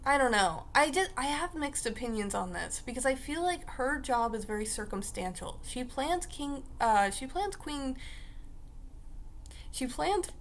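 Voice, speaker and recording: A young woman vlogging in a casual, rambling way, her voice raspy because she just woke up. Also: high-pitched, lively intonation, unhurried. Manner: rambling, chatty, full of fillers like "like" and "uh". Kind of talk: casual talk to camera.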